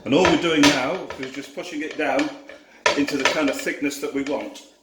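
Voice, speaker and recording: A man with a Yorkshire accent giving a relaxed cooking demonstration in his home kitchen, easygoing and self-deprecating as he works. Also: a little high, moderate pace, very noisy. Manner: easygoing, self-deprecating, talks while working. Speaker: cooking video host. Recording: echoey kitchen with clattering dishes.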